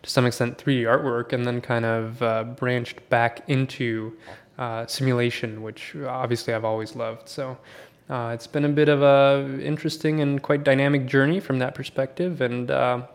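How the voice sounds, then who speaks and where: Gravelly Swedish-raised man, rambling. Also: mid-range voice, moderate pace. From Sweden. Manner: relaxed, rambling with many fillers and restarts. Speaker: guest. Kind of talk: casual podcast interview.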